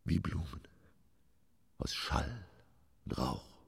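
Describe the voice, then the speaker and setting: An actor playing a weary, grief-stricken old stage actor in a poetic radio drama, slow, brooding and breathy. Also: male voice, sing-song, whispered, full character voice. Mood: dark anguish. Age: older. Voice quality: gravelly, breathy low voice. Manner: slow, theatrical, brooding delivery.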